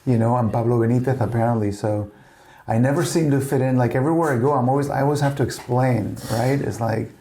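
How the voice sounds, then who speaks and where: Gravelly, mellow-voiced man with a Spanish accent. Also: medium pitch, fast talker. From Spain. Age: middle-aged. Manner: rambling, full of "you know" and "like". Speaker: family podcast guest. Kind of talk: casual storytelling chat.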